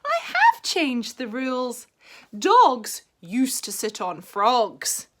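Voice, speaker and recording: A woman doing a shrill bossy cartoon frog. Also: wildly swooping pitch, slow pace, fairly bright tone, exaggerated voice. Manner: shrill, emphatic, sing-song rhymes. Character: bossy rule-making frog, squeaky and loud.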